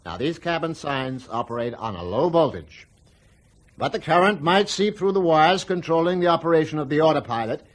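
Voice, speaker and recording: A man's voice: a little high, expressive, close to an everyday voice. An actor playing a reassuring, defensive aircraft company spokesman in a radio drama, explaining formally and carefully. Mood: reassuring, placating. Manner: measured, formal explaining with hedged emphasis.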